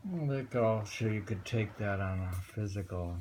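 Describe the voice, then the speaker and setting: A middle-aged man reading poetry and commenting on it casually, musing in an unhurried way and repeating phrases. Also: fairly low pitch, a little mellow. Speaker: host reading and discussing poetry. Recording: home recording with faint background noise.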